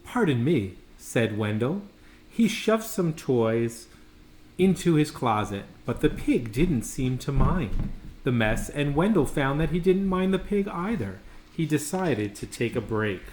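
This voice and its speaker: Slow-paced man reading in an echoey room. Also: medium pitch, big pitch swings, darkish tone. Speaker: storyteller reading a children's picture book. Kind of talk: read-aloud storytelling with casual asides. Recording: echoey room with faint background noise.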